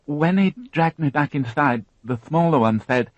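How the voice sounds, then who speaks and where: A man voicing a frightened young woman who witnessed a crime, tearful and halting, repeating her words as she talks. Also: fairly high pitch, no echo, lo-fi sound, voice pushed up, lightly acted. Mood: shaken.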